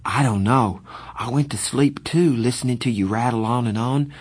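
A man voicing a quiet teenage boy who speaks softly and plainly. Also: medium pitch, normal speed, slightly rough, close to an everyday voice. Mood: calm.

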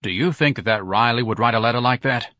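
A man voicing an impatient, gruff businessman husband in an audiobook. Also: medium pitch, fast talker, pushed and bright, no room sound, clean studio sound, natural voice. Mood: grim. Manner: impatient, blunt. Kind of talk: audiobook character voice.

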